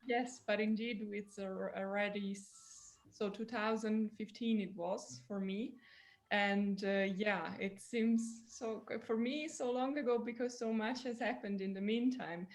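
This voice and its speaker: A woman's voice: mid-range voice, steady tone, measured pace. An Italian-accented woman in her thirties speaks on a video call. She talks with enthusiasm about her career as a lawyer. Her voice sits in the middle of the range. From Italy. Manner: warm, enthusiastic alumni talk. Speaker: patent litigation lawyer.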